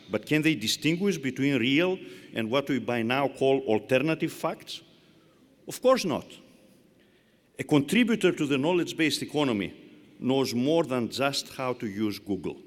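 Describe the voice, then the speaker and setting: A middle-aged man with a Greek accent, a university chancellor giving a measured, emphatic commencement speech in a large arena. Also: mid-range voice. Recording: long arena reverb.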